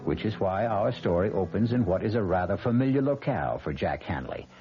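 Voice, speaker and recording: A man narrating as host of an old-time radio mystery, in a measured, wry, philosophical manner. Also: on the low side, expressive, lo-fi sound, close to an everyday voice. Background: prominent music.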